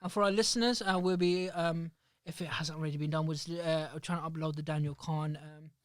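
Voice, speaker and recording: A man's voice: on the high side, steady tone, clean tone, no room sound. A man hosting a casual podcast in a small studio, rambling and restarting his sentences. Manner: rapid, chatty, rambling with many restarts. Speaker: podcast host. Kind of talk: casual chat. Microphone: studio microphone.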